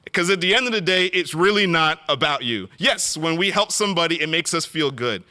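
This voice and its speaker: A middle-aged man giving an earnest storytelling speech at an awards event, often pausing on fillers and restarting phrases. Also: a little high, expressive, pushed and bright.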